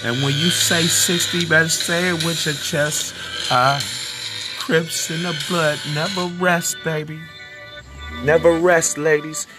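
A man with a raspy, scratchy voice freestyles, half singing and half rapping in slow, drawn-out lines with loose ad-libs. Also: fairly high pitch, fairly even intonation. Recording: loud backing music under the voice.

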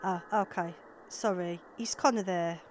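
A woman acting an irritable, sharp-tongued, sarcastic middle-aged mother and ex-wife in an audio drama. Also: on the low side, measured pace, a little hiss or hum, lightly acted. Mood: curt.

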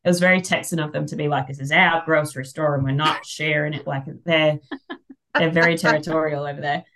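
A woman's voice: sits low, fairly quick, background noise. An Australian woman chatting casually as a podcast guest, telling wry, exaggerated anecdotes and acting out the dialogue. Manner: animated anecdote teller who acts out dialogue, wry exaggeration. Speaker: podcast guest, fantasy author. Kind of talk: casual chat, humorous storytelling.

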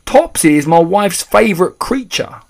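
A man's voice: sits high, lively intonation, normal speed, vocal fry, pitched above the performer's own voice. A man voicing an angry, blustering middle-aged English father in a storybook, rude and spluttering. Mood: furious, shouting. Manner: rude, shouting, spluttering, stammering when shocked.

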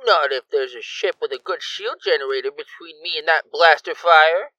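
A woman's voice: sits low, normal speed, pushed and bright, no echo. A woman playing a cautious, reluctant starship crew member in an audio drama. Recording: dry, slightly dull recording.

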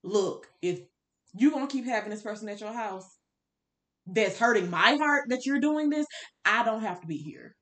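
A woman's voice: on the high side, animated, moderate pace, fairly bright tone. A woman co-hosting a podcast, casually discussing books in a candid, opinionated way with blunt, colloquial phrasing. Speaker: podcast co-host.

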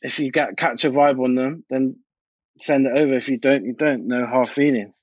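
A man's voice: medium pitch, fairly even intonation, normal speed, no room sound, muffled recording. A British man talking casually and anecdotally as a DJ and producer being interviewed, in informal, colloquial speech. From United Kingdom. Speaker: interview guest, DJ and music producer.

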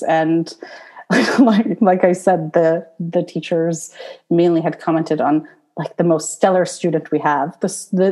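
A woman's voice: lowish voice, darkish tone. A woman with an Iranian accent, a podcast guest talking casually and laughing often between fillers. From Iran. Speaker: guest, tutoring company founder. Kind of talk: casual interview talk.

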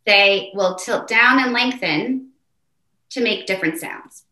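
A woman's voice: slow, roomy sound. A woman with a mezzo voice, a voice teacher explaining technique animatedly in an interview. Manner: animated, demonstrative, shifts pitch to show points. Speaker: voice teacher guest.

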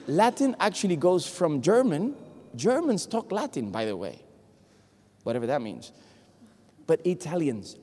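A man from Puerto Rico, Spanish his first language, with a Puerto Rican accent, preaching an animated, joking sermon to a congregation. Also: fairly high pitch. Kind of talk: lively sermon. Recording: long hall reverb.

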